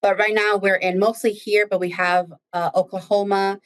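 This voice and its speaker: A woman who grew up speaking Spanish, a nun and daycare director telling her story with lively, acted-out moments. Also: mid-range voice, moderate pace. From United States. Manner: lively storyteller, acts out what she said. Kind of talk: casual interview chat.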